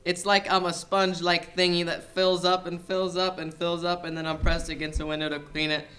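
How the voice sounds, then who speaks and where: A man's voice: high voice, level tone, brisk, a bit bright. A young man playing an exasperated, self-pitying middle-aged mob boss in a comic student radio play, whiny and blustering. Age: young adult. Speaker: actor in a student audio play.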